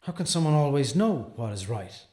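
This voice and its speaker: Guy acting as earnest defense lawyer full of doubt, brooding audio drama monologue, slow pauses, echoey room. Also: medium pitch, very animated, mellow, natural voice. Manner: deliberate, pausing, brooding. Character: earnest, troubled male defense attorney wrestling with doubt. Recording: echoey, roomy sound.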